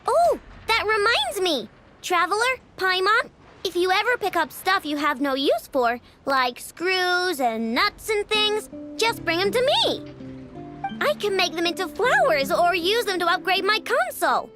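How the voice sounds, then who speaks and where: High-voiced cheerful girl tinkerer. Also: sing-song, unhurried, bright, forceful tone, faint music behind, heavily acted. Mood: breezy, friendly. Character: cheerful young girl tinkerer, friendly and encouraging. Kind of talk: video game voice acting.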